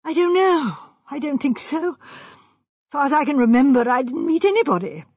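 A woman's voice: high-pitched, lively intonation, moderate pace, darkish tone, dry recording, dull audio, voice pushed up. A woman voicing a distraught young male architect in an audiobook, with a stammering, pleading, ladylike way of speaking. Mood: agitated.

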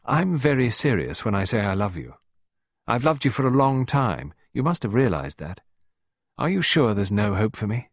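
A man's voice: fairly low pitch, big pitch swings, normal speed, no room sound. A man voicing a polite, earnest male suitor in an audiobook, rueful and lightly ironic. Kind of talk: audiobook character voice.

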